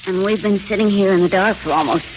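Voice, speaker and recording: An actress playing a spirited, quick-witted woman newspaper reporter in a 1940s radio drama. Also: mid-range voice, close to an everyday voice. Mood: conversational, self-assured. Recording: muffled old radio recording, faint noise.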